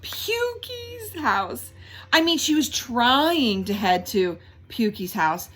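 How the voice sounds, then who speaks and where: A woman reading a children's book aloud in an animated storytelling style, pausing like a teacher to prompt listeners with questions and stressing key words. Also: fairly high pitch. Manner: instructive, prompting, emphatic repetition of key words.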